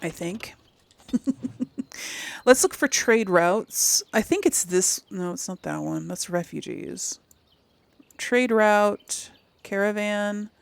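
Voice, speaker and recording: A woman's voice: mid-range voice, expressive, takes it slowly, gravelly, long pauses, dry recording. A woman giving casual let's play commentary, thinking aloud as she goes. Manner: thinking aloud, reading quest text aloud.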